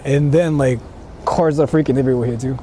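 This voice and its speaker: A man's voice: mid-range voice, fairly even intonation, fairly quick, warm tone. A man with as his language, chatting casually and swearing in slang as he livestreams out in the city. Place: outdoors on busy city streets. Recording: noisy outdoor street with traffic. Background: cars and urban street noise.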